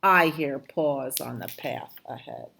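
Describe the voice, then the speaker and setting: Storybook monster, played by a woman: large, gullible male beast, low and plainly measured. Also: very animated, vocal fry, a bit bright, full character voice. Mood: uneasy, doubtful. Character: big gullible male monster, amused then uneasy.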